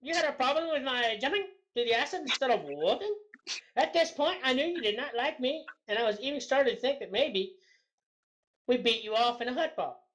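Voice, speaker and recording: A woman's voice: high voice, expressive, brisk, low-quality recording. A woman reading forum posts aloud in a sarcastic, argumentative way, like a mocking dramatic reading. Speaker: co-host.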